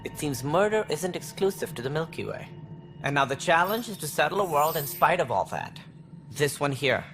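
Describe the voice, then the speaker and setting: An actor playing a calculating, self-assured alien director in a video game, speaking in a measured, formal, condescending way. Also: male voice, fairly high pitch, big pitch swings, fairly bright tone, soft background music. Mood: authoritative. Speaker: director of a space initiative. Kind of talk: video game cutscene acting.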